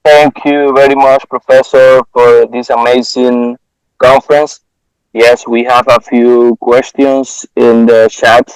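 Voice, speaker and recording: A man's voice: mid-range voice, level tone, slow, clean tone, no room sound, poor audio. A man moderating an online academic conference, relaying questions from the audience to the speaker.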